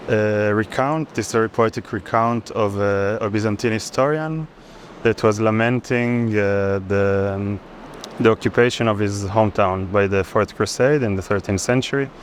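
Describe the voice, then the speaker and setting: A man's voice: lowish voice, normal speed. A man in his thirties with an Israeli accent, an artist thoughtfully explaining his work in an interview, often searching for words. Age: 30s. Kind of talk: reflective explanation of own artwork. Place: busy art fair. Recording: clear urban background noise at fair.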